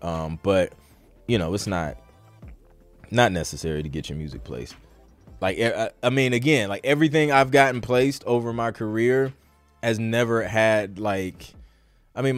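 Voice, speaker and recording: A man's voice: on the low side, measured pace, no room sound, faint music behind. A man hosting a podcast, talking in an informal, slangy, conversational way as in a live Q&A. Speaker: podcast host. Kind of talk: casual live Q&A chat.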